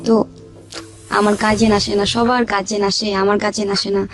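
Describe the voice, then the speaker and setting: A woman's voice: mid-range voice, flat delivery, fairly quick. A young woman tells a personal life story, repeating phrases as she goes. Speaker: guest telling her life story. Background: background music.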